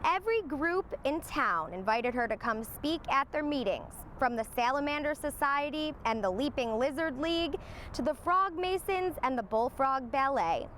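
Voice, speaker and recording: A woman reading a children's storybook aloud in an expressive storytelling manner. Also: high voice, normal speed, a little hiss or hum. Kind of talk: scripted storybook narration for young children.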